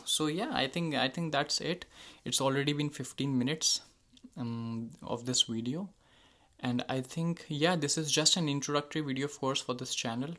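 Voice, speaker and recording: A man's voice: mid-range voice, a bit bright. A young man whose first language is Hindi, talking casually to his channel's viewers, with frequent fillers and self-corrections. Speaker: channel host. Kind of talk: casual unscripted monologue.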